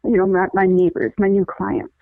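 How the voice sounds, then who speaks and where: A woman's voice: medium pitch, level tone, gravelly, no room sound. Earnest woman explaining over a tinny phone line. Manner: earnest, many restarts, deliberate explaining. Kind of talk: conversational interview, explaining.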